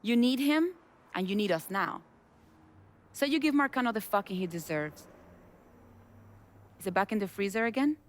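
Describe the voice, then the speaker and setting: A woman's voice: medium pitch, expressive, normal speed, long pauses, close to an everyday voice. A woman, voicing a tough smuggling boss in a video game, blunt and businesslike. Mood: earnest. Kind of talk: video game cutscene acting.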